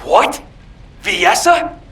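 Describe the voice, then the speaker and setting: A man playing a hot-headed, blunt soldier in a sci-fi game. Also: very slow. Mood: incredulous. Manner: forceful, blunt.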